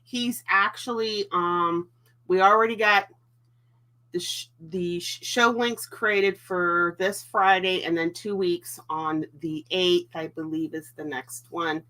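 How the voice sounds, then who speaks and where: Slow-talking, stuffy-nosed woman. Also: on the low side, fairly even intonation, sharp tone. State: stuffy, nasal voice while healing. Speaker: livestream co-host. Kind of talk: casual livestream chat, reading viewer comments.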